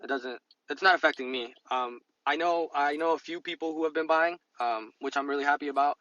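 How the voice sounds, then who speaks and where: Bright-voiced man chatting, slightly lo-fi. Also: on the high side, fairly quick, no echo. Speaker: podcast co-host discussing stock investing. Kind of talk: casual conversational chat.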